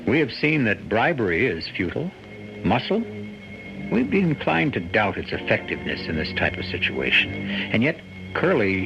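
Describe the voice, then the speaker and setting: A man's voice: medium pitch, creaky. A man hosting a radio mystery drama with theatrical, wry and ominous narration, full of rhetorical questions. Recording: muffled old radio broadcast. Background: music.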